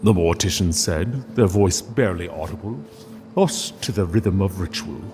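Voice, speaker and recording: A man reading a literary story as narrator, solemn and deliberate, with dramatic pauses. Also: low-pitched, darkish tone, long reverb tail, faint music behind.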